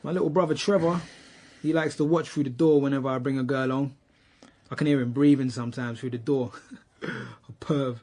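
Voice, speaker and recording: An actor playing a swaggering eighteen-year-old suspect, slangy and cheeky, in a radio drama. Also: male voice, mid-range voice, fairly even intonation, moderate pace, mellow, natural voice. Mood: cocky, insolent. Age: young adult. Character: cocky eighteen-year-old male street youth, insolent murder suspect. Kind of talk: naturalistic radio drama acting.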